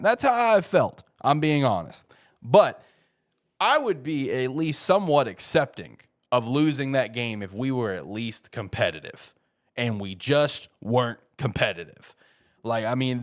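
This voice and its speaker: A man hosting a sports talk show, speaking informally and repeating himself for emphasis, slightly stuffed up with a head cold. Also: on the low side. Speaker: host of a football show. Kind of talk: casual sports talk, opinionated commentary.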